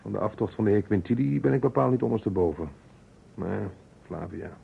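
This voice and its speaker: An actor playing a cool, reserved gentleman with a measured, dry manner in a radio detective drama. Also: fairly low pitch, animated, creaky. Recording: dull, noisy old radio recording.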